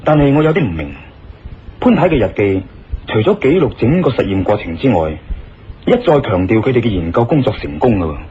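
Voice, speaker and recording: An actor playing a calm, questioning investigator in a Cantonese radio drama, speaking in a measured, reasoning way. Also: male voice, lowish voice, a little hiss or hum. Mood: puzzled, thoughtful. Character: thoughtful male investigator, calm, questioning husband. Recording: dull lo-fi radio recording, music behind.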